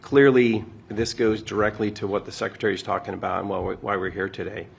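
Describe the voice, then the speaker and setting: Male official at a press conference, creaky low-set voice, slight room noise behind. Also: flat delivery, fast. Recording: faint background noise and ambience.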